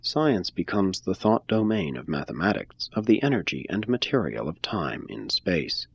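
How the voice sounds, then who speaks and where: A man giving a measured, phrase-by-phrase scripted reading of a religious text. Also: deep voice, big pitch swings, vocal fry, husky, muffled recording. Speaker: reader.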